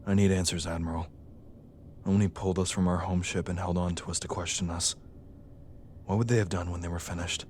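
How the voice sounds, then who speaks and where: A man's voice: deep voice, flat delivery, a little fast, long pauses, a little mellow, slight background noise, close to an everyday voice. A man playing a grieving, defiant military sergeant in a sci-fi drama, questioning his superiors in a pointed way. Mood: restrained, suspicious. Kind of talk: sci-fi audio drama acting.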